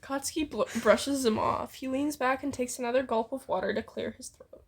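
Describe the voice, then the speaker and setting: A woman's voice: sits high, a little fast, slightly rough. A woman casually reading a fan fiction story aloud as narrator.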